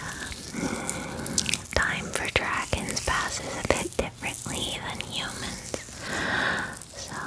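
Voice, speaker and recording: Slow whispering woman as a friendly dragon girl. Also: low-quality recording. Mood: chummy, confiding. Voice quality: soft, breathy whisper. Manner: gentle, chatty, unhurried, affectionate. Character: friendly, bubbly young female dragon pampering a human guest. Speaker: ASMR performer. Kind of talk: ASMR fantasy roleplay, whispered personal attention. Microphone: close ASMR microphone.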